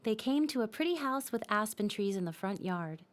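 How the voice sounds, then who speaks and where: A woman narrating a scripted children's story in a simple, expressive storytelling manner. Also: medium pitch, normal speed.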